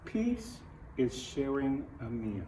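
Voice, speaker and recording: A man from Ohio with an American accent reads a picture book aloud to children, adding his own earnest, gentle comments in halting phrases. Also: medium pitch, very animated. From Ohio, United States. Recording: roomy echo, distant mic, faint noise.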